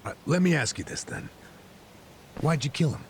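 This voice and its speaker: An actor playing an aging detective near retirement, sly and persistent, with a gravelly, teasing manner. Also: male voice, on the high side, expressive, moderate pace, a little hiss or hum, lightly acted. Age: older. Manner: teasing, chuckling, prying. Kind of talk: dubbed game cutscene acting.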